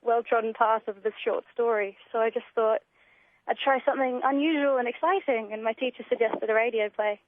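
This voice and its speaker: A woman's voice: fairly high pitch, fairly quick, no room sound. A young Australian woman chatting enthusiastically as a guest in a casual radio interview, heard over the telephone. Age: young adult. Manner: enthusiastic, gushing. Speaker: interview guest, student writer. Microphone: telephone call. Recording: tinny narrow phone line.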